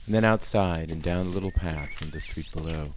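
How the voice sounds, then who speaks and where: A man narrating a story in a measured, gentle way. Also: low-pitched, noisy background.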